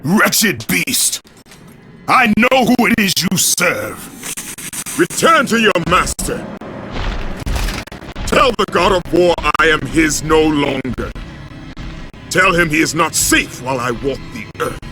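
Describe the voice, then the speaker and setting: A fierce, vengeful warrior from a video game. His menacing, declamatory delivery is slow and ranges enormously from low to high. He sounds grim and used to command. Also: very animated, music in the background, exaggerated voice. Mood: vengeful defiance. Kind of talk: dramatic video game acting.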